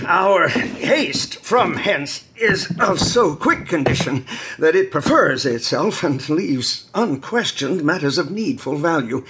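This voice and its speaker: A stately, slow-speaking duke, husky voice. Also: male voice, on the high side, a bit bright, exaggerated voice. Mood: firm, commanding. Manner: formal, measured, oratorical. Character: stately ruling duke, solemn, handing over power. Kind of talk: classical Shakespearean stage acting.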